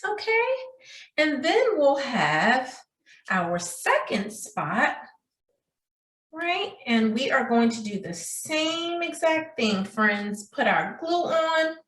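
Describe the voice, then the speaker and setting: A woman's voice: high-pitched, very animated, takes it slowly, fairly bright tone. A woman preschool teacher giving a craft demonstration for young children in a sing-song, checking-in manner, recorded in a room at some distance from the microphone. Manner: sing-song, addressing children as friends, frequent check-in questions. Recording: roomy echo, distant microphone.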